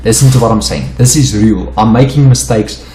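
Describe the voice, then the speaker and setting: Low-voiced South African man teaching. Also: normal speed, mellow, reverberant, a little hiss or hum. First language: Afrikaans. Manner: stumbling, repeats himself while explaining. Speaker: forex trading teacher. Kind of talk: unedited screen-share chart walkthrough.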